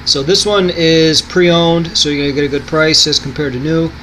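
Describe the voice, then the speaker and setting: A man's voice: fairly high pitch, steady tone, moderate pace, bright, forceful tone, slight background noise, poor audio. A man giving a casual product demonstration in an offhand, rambling way.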